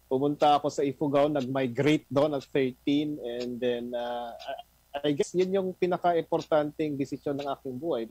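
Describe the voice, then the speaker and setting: A man's voice: mid-range voice, a little mellow, breathy edge, rough recording. A man in his fifties from Northern Luzon, answering an interview casually on a video call, with many fillers. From Northern Luzon, Philippines. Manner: conversational, mixing languages, many fillers. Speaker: senate candidate guest. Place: home room, indoors.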